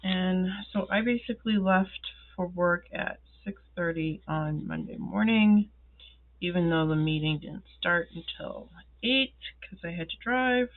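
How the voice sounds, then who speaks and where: Slow-talking congested woman, tinny sound. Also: lowish voice. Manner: slow, rambling, many ums and pauses. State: congested, feeling ill. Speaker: planner vlogger. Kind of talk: casual talk while filling in a planner. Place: indoors at a wooden desk.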